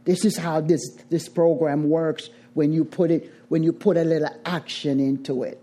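A middle-aged woman with a Jamaican accent, speaking to a recovery group in a deliberate, emphatic way and repeating phrases for weight. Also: low voice, steady tone, warm tone, husky. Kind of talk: twelve-step recovery talk to a group.

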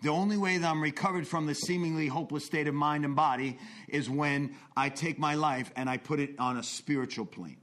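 A man in his forties giving an earnest, confessional talk about his recovery, swinging between intense bursts and hushed asides. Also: a little high, steady tone, a little fast. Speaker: recovery speaker. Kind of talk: personal testimony talk.

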